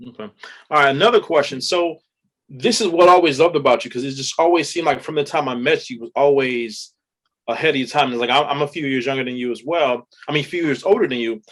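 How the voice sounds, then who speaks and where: A man's voice: medium pitch, quick pace, a bit bright, rough recording. A man hosting a podcast, asking informal, loosely rephrased questions. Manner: informal, rephrases his questions, says "talk about that". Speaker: podcast host. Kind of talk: casual interview questions.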